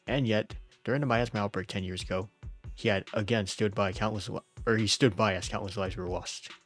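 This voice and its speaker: A man who is under the weather, streaming a game with informal, jokey commentary and reading out story text. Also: fairly low pitch. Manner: informal, jokey, often chuckling. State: sick.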